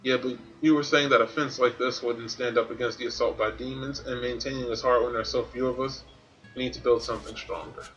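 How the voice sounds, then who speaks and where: Bright-voiced man doing game commentary. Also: medium pitch, fairly even intonation, brisk, highs cut off. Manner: informal, thinking aloud. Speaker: gaming streamer. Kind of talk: casual Let's Play commentary, sometimes reading game text.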